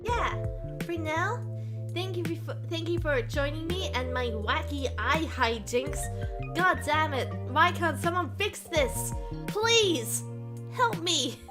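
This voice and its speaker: A woman streamer reading a visual novel aloud, breaking off with animated, blurted reactions, her voice getting tired and worn. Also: sits high, slow, over music. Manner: animated, blurts out reactions between read lines.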